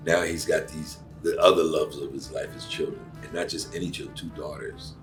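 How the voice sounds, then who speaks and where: A middle-aged man from Cuba speaks in an extremely deep voice, earnest and emphatic as he talks through his thoughts. He is picked up by a microphone set some distance away. Faint music plays underneath. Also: steady tone, fairly quick. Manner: earnest, warm-hearted, emphatic, with many fillers. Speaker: actor and acting teacher, guest. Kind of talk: casual interview conversation.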